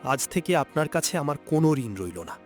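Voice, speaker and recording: A man's voice: a little high, big pitch swings, quick pace, faint music behind. A man voicing an honest, hardworking farmer husband in a cartoon drama, with an earnest, emotional delivery. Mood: firm.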